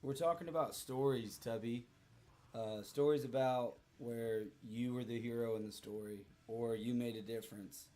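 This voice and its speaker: A hoarse man in his thirties livestreaming, rambling through stories and joking with chat. He talks loosely, swears freely, and wanders from one thought to the next. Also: medium pitch, steady tone, normal speed, warm tone.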